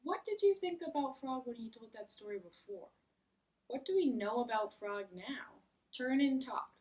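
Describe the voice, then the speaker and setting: Very expressive woman teacher, slightly distant mic. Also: fairly high pitch, moderate pace. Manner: expressive, addresses children with questions. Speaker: elementary school teacher. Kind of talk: children's read-aloud lesson. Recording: voice far from the mic.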